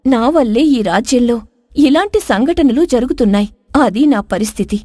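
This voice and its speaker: A woman voicing a high-pitched, wronged young princess. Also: lively intonation, normal speed, mellow, dry recording, clean studio sound. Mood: earnest, sorrowful. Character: spurned dark-skinned young princess, earnest, wise, wronged. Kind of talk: dramatised moral folk tale.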